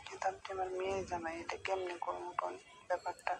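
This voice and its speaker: A woman's voice: on the low side, completely flat delivery, fast talker, vocal fry, loud music behind the voice. A middle-aged woman, speaking hesitantly and pleadingly on a recorded phone call, often repeating herself. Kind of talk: private phone conversation.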